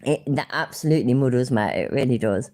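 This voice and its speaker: A woman's voice: rumbling low, expressive, fairly quick, dark tone. Rambling, swearing Midlands woman streamer. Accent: English Midlands. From English Midlands. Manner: rambling, swears freely, laughs often. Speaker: live streamer. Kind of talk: casual live-stream chat.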